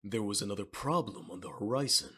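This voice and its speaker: Male narrator for manga audio drama, low register, rough-edged husky tone, dramatic scripted read. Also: brisk, bright, forceful tone.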